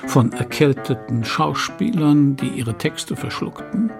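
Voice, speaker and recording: A man playing a writer who recounts his life in a literary radio monologue, measured, dry and deliberate. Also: mid-range voice, very animated, vocal fry, a little mellow, faint music behind. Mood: deadpan solemnity. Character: deadpan male writer solemnly recounting his uneventful life.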